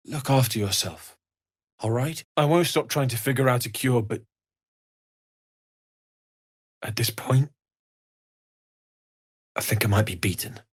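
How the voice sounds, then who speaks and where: Male actor as a young tiefling blacksmith for a fantasy game: sincere, kindly, gentle, measured, medium-pitched. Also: husky, close to an everyday voice. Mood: regretful, subdued. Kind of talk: video game character dialogue.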